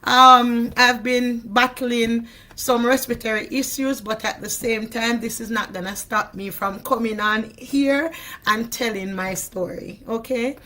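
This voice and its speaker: A woman with a Jamaican accent talks in a hoarse voice on a video call. She is chatty and keeps checking in with the listener as she answers questions. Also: on the high side, steady tone, a little slow, pushed and bright. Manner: chatty, repeats "you know what I mean". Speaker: interview guest. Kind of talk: casual interview chat.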